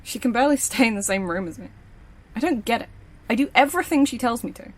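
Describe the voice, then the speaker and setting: A woman's voice: a little high, lively intonation, quick pace, a little hiss or hum, close to an everyday voice. A woman playing a confident, observant security operative in an audio drama, with a blunt, dry way of talking. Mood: intense, confiding. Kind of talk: naturalistic audio drama acting.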